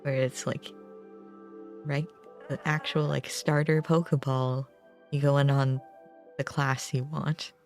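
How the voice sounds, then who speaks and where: A woman's voice: extremely low pitch, level tone, slow pace, pauses often, faint music behind. A woman livestreamer chatting casually with viewers in a rambling, self-deprecating way. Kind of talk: casual gaming stream chat.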